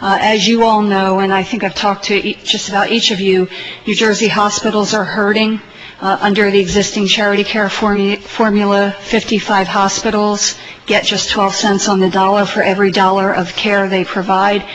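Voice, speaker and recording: A woman's voice: mid-range voice, level tone, normal speed, fairly bright tone. A woman giving prepared testimony as an advocate at a legislative hearing. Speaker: hospital industry advocate testifying. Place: legislative committee hearing room. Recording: dull hearing audio, faint noise.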